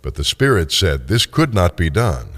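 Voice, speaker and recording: An older man narrating a story dramatically, with theatrical, deliberate phrasing. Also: low voice, sing-song, fairly bright tone. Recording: long reverberant tail on voice.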